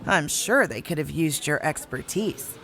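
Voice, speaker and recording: A woman's voice: sits low, animated, unhurried, a bit bright, heavily acted. A woman playing a gracious, curious visitor, polite and friendly, in an audio drama. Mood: curious, delighted. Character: gracious, curious, warm-mannered female insurance visitor.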